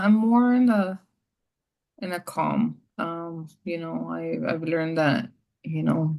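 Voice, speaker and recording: Woman w a deep gravelly voice, soft and hesitant, lots of you knows, video call. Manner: soft-spoken, many "you know" fillers. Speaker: client in a practice session. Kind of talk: role-played therapy session.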